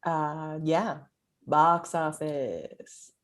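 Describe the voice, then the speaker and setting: A woman acting as a flippant, self-serving young woman in a comedy table read, with breezy deadpan asides. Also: low-pitched, extremely slow.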